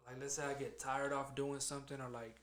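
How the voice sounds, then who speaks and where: A man's voice: mid-range voice, little pitch movement, a little fast, a bit bright. A man in his late twenties hosting a podcast, talking loosely and profanely, turning motivational. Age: 20s. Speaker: podcast host. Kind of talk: casual podcast chat. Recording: echoey room.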